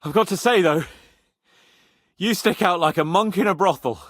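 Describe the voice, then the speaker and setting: An actor playing a brash, haughty young medieval nobleman in expressive, sardonic video game dialogue. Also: sits high, moderate pace, no room sound, studio quality. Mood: conversational, wry.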